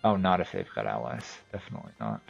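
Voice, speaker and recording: A man from New England with a New England accent, casually commenting on his strategy game as he plays and thinking out loud with many fillers. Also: a bass voice, big pitch swings, quick pace, creaky, slightly rough, dull audio, faint music behind. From New England, USA. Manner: thinks aloud, rambling with fillers. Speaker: game streamer. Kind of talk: casual commentary while playing.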